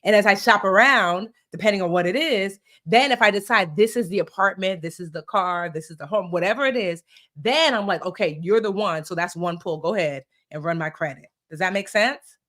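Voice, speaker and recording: A woman's voice: mid-range voice, fairly quick, fairly bright tone. A woman in her forties hosting a live personal finance session, explaining credit in a playful, sassy way and speaking straight to her audience. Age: 40s. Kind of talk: live-stream teaching chat.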